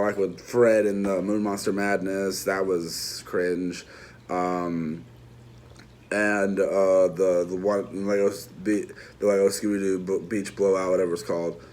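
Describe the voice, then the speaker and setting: A man's voice: on the low side, darkish tone. A man giving a casual, unscripted movie review, rambling through restarts and side remarks while talking straight to his viewers. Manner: rambling, lots of restarts and trailing asides; chatty, addresses viewers directly.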